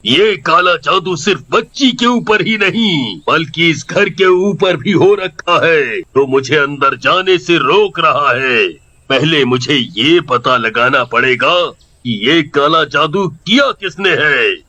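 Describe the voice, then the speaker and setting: A man playing a grave, fatherly occult holy man with a solemn, deliberate manner in a dramatic story. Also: fairly high pitch, lively intonation, pushed and bright, heavily acted. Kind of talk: dramatic animated story dialogue.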